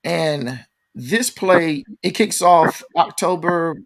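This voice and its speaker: An American man hosting a casual interview, asking questions in informal, halting phrases. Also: a little high, vocal fry. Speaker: interviewer, host.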